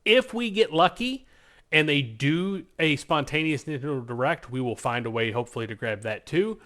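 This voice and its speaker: A man's voice: fairly high pitch, animated, moderate pace, fairly bright tone. A man co-hosting a podcast, chatting casually and giving his opinions with enthusiasm and emphasis. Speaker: podcast co-host. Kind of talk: casual podcast chat about games and films.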